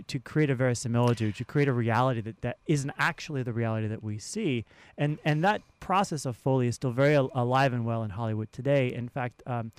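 A man speaking as a radio interview guest, explaining in a halting way and often repeating words as he goes. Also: mid-range voice, darkish tone, clean tone, dry recording. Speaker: interview guest, theatre sound designer and professor. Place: radio studio. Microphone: studio microphone.

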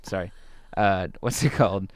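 A man's voice: deep voice, normal speed, clean tone, dry recording. A young man from California with an American accent, chatting informally and ramblingly as a radio guest. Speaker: guest, trainee DJ. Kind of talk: casual interview chat. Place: radio studio.

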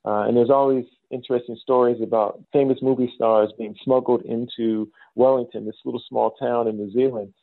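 Gravelly middle-aged American man, muffled line. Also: medium pitch, fairly even intonation, dry recording. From south side of Chicago, United States. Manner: explanatory, measured, often says "you know". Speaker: interview guest, business school dean. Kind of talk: conversational interview answers.

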